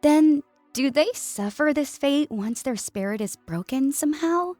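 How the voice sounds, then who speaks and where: A woman voicing a weary, skeptical, grumbling female furred alien in a narrated sci-fi story, quiet and sullen. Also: high voice, expressive, measured pace, dry recording, soft background music, voice pushed up. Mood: troubled. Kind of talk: audio fiction character acting.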